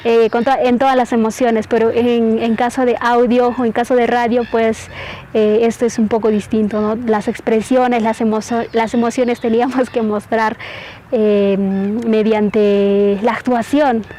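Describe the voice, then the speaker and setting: A woman reflects in an interview with a fairly high, very even voice. She speaks haltingly and often checks in with short tags. Some ambient noise sits behind her. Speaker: radio drama performer.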